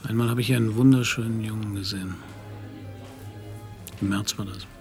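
A man's voice: on the low side, fairly even intonation, fairly quick, a little mellow, faint music behind, close to an everyday voice. An actor in a German radio play voicing a bitter, grieving Danish man, brooding, weary and sardonic. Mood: gloomy, subdued. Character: bitter, grieving Danish man whose dog was stolen.

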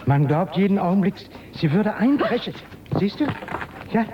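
A man's voice: sits high, sing-song, normal speed, muffled recording, background noise. An actor playing a nervous, flustered man of the future in a German radio drama, stammering and repeating his words. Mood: wondering, uneasy.

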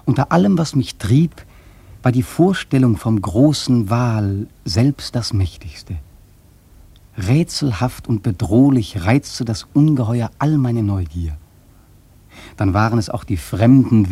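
A wry, brooding seafarer telling his tale in a literary radio play. The voice is husky and mellow, recorded close and dry. He speaks reflectively, placing his emphasis with dramatic care. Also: medium pitch, no echo. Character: brooding, wry sailor narrator drawn to the sea.

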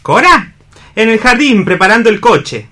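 Eloquent, high-voiced worldly newspaperman. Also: very animated, unhurried, bright, forceful tone, exaggerated voice. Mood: teasing curiosity. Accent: Spanish (Spain). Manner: emphatic, theatrical, urging. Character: eloquent newspaperman, worldly, persuasive male mentor. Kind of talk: radio theatre reading.

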